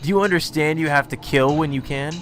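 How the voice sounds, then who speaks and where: Man putting on a smug, high-pitched schoolboy villain. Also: fairly quick, slight background noise, close to an everyday voice. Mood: smug taunting. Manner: mocking, condescending. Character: gloating, taunting teenage male villain, arrogant schoolboy.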